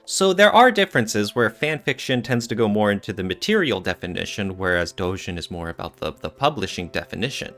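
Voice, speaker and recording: A man's voice: fairly low pitch, animated, brisk, hardly any pauses, fairly bright tone. A man co-hosting a podcast, explaining things in a casual, thinking-aloud way. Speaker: podcast co-host.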